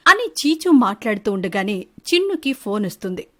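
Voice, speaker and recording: Female storyteller for an animated moral tale, scripted narration linking the dialogue. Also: mid-range voice, brisk.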